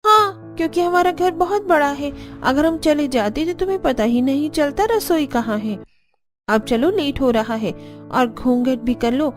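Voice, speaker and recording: A bossy elder sister-in-law from a family cartoon, voiced by a woman. Her pitch is high and she sounds resigned to the household's old rules. Light music plays beneath. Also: unhurried. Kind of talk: cartoon story dialogue.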